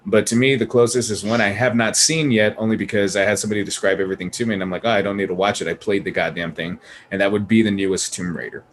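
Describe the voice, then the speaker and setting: A man's voice: lowish voice, steady tone, fast. A man in a casual panel chat, talking emphatically and opinionatedly and swearing freely. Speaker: podcast panelist. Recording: clear background noise.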